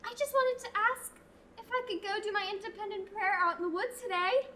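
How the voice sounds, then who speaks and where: A teenage actor playing a curious, earnest young novice in a student radio play. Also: female voice, extremely high pitch, a little fast, pushed and bright, a little hiss or hum. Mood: pleading. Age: teens. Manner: earnest and pleading.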